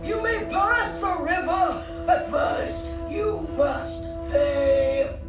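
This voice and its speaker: Slow, echoing monstrous toll collector. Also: female voice, very high-pitched, animated, full character voice. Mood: obsessive, insistent. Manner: halting, repetitive, incantatory demands. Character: cursed monstrous female toll collector, insistent, greedy for gold. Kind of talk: video game voice acting. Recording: echoing, distant, music behind.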